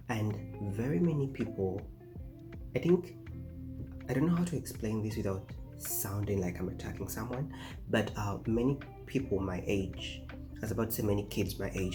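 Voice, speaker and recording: A man, chatting casually to camera in a rambling way, full of fillers and restarts. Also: lowish voice. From Uganda. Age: 20s. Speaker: vlogger. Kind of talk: casual talking-head vlog.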